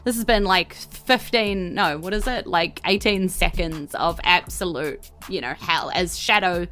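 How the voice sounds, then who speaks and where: A woman's voice: fairly low pitch, measured pace, pushed and bright. A woman running a tabletop role-playing game as game master, narrating scenes and rulings in an informal, casual way.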